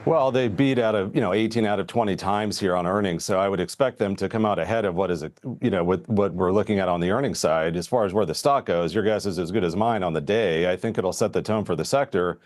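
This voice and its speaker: A man giving market views as a guest in a financial TV interview, measured and conversational. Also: low-pitched, darkish tone, no echo, clean studio sound. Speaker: investment strategist guest.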